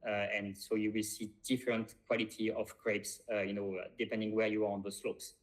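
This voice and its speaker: Hesitant low-voiced man. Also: little pitch movement, a little fast, poor audio. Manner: hesitant, many fillers and restarts. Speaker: podcast co-host. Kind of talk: casual chat.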